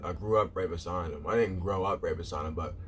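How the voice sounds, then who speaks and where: Male streamer, very deep voice, casual rambling chat, says "bro" a lot, faint background noise. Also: fast talker, a bit bright. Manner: rambling, repeats himself, says "bro" often. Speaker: live streamer.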